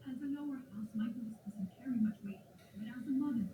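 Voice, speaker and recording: An actress playing a dignified noble mother pleading her case in an animated series. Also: fairly high pitch, fairly quick, noisy background, natural voice. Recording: thin phone-like, echoey TV playback.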